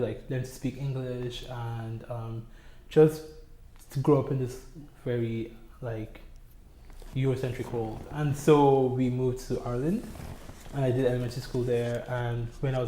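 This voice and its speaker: Mellow-voiced young man, relaxed storytelling. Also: mid-range voice, echoey room, slight background noise, poor audio. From Nigeria. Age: young adult. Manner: relaxed, hesitant, many fillers. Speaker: oral history interviewee. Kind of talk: casual personal storytelling. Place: community center room.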